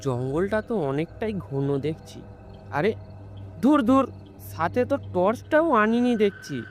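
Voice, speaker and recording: A man playing an ordinary, impulsive young man in an animated horror story, conversational and often repeating his words. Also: high voice, measured pace, slight background noise. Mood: musing, hesitant.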